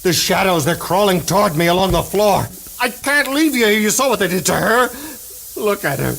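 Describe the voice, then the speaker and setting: An actor playing a headstrong, curious man in a vintage American radio horror drama, gravelly and melodramatic, on a hissy old recording. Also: high-pitched, animated, fairly bright tone, full character voice. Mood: urgent dread. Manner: slow, intense, melodramatic delivery. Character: headstrong man called Doc, curious, then gripped by terror. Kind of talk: 1930s-40s American radio horror acting.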